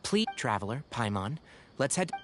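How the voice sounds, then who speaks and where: A woman's voice: extremely low pitch, big pitch swings, normal speed, background noise. An actress playing a composed, polite young woman investigator in a fantasy video game. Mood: polite, serious. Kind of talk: anime video game voice acting.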